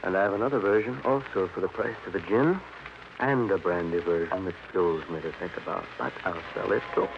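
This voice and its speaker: An American actor playing a bitter, self-pitying young drunk in a 1940s radio crime drama, with florid, mock-grand delivery. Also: male voice, sits low, a little fast, creaky, noisy background. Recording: dull vintage radio recording with music behind.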